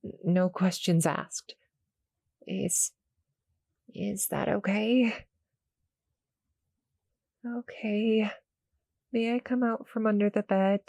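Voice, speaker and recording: A woman voicing a small, shy, friendly cuddle-monster girl in an audio roleplay, halting and apologetic as she speaks to the listener. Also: medium pitch, creaky, dry recording. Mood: nervous, pleading. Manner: nervous, halting, stammering, soft-spoken explaining.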